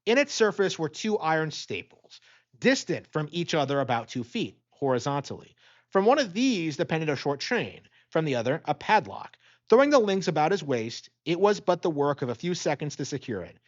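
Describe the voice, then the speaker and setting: A man with a slightly high voice narrates a gothic audiobook. His delivery is dramatic and very varied in pitch. The recording sounds a bit dull. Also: very animated, moderate pace.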